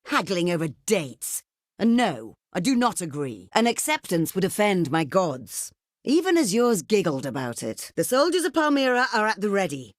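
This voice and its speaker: A woman playing a proud, shrewd desert queen in a video game, speaking in a formal, regal, measured way. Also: mid-range voice, very animated, dry recording, clean studio sound, close to an everyday voice. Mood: haughty, dismissive, then commanding. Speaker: game character voice actor. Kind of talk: theatrical video game voice acting.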